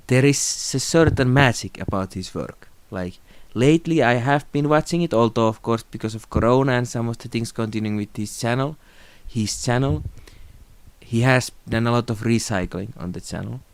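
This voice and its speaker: Young man, from Finland, Finnish native speaker, calm, sincere, smooth voice, dry close recording. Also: mid-range voice, no room sound. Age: young adult. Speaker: video creator. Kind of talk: unscripted tribute talk to camera. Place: small room indoors.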